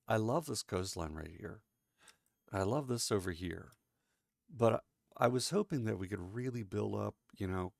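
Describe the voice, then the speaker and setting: Congested man with a deep gravelly voice. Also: dry recording, studio quality. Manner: chatty, joking, rambling. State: congested, sniffly. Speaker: let's-play host. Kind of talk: casual gameplay commentary.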